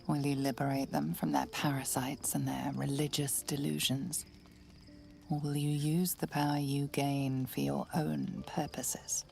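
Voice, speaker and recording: A woman's voice: level tone, measured pace, a little mellow. A woman narrating a video game in an ominous storytelling manner, at times dropping to a whisper, with music underneath. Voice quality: low gravelly voice. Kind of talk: scripted narration.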